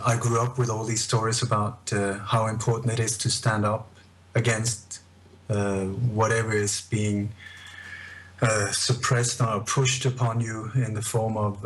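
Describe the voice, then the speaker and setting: A middle-aged man with a Scandinavian accent, recounting his research as a radio interview guest in a measured, halting way with repeated phrases. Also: on the low side, flat delivery, poor audio. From born in Denmark, emigrated to Sweden at eight.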